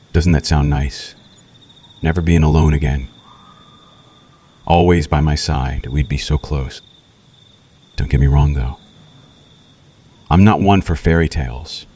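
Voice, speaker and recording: A man playing a seductive, menacing vampire in an ASMR roleplay, speaking slowly and intimately with a possessive, darkly romantic air. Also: heavily acted. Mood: seductive menace, then teasing, menacing. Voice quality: very deep, husky, breathy. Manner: slow, intimate, softly menacing, teasing.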